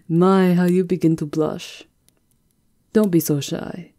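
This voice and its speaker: A woman's voice: low voice, vocal fry, mellow, full character voice. A woman performing an elegant, seductive vampire countess in an ASMR roleplay, speaking in an intimate, languorous and teasing manner.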